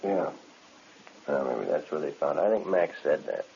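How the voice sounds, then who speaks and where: A weary, irritated jailbird in an old radio crime drama. He grumbles curtly in a very deep, creaky voice, on a muffled vintage recording with some noise. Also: very animated, normal speed, dark tone, full character voice. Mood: irritated, sleepy. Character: sleepy, irritated, dismissive male jail cellmate. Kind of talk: 1950s radio drama acting.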